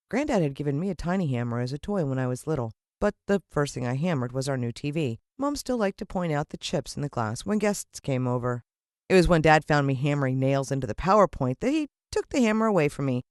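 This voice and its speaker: A woman with an American accent reading a children's story expressively, with dramatic emphasis and deliberate pauses. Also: very deep voice, no echo, clean studio sound. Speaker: audiobook narrator.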